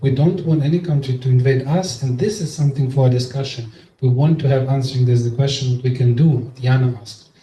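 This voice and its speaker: A man from Ukraine with a Ukrainian accent, Russian his first language, speaking as a seminar panelist in a halting, emotional way. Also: mid-range voice, fairly even intonation, warm tone. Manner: halting, emotional, self-correcting. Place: conference hall. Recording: echoey room from far off, faint noise.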